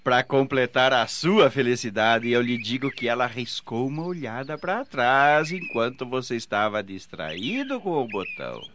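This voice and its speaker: A man's voice: mid-range voice, lively intonation, moderate pace, few pauses, a bit bright, lightly acted. An actor playing a jovial young man who teases his brother, in radio drama style. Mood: teasing. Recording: dull lo-fi, faint noise.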